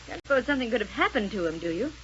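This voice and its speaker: A cautious, capable space adventurer in an old radio serial. Her voice is high and earnest. The 1930s recording sounds dull with faint noise. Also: big pitch swings, racing, fairly bright tone. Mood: questioning. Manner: questioning, earnest.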